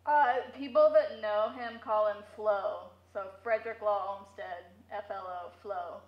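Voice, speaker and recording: A woman's voice: mid-range voice, measured pace, dull audio. A woman giving casual interview answers as a museum curator, heard through a megaphone in an echoey room.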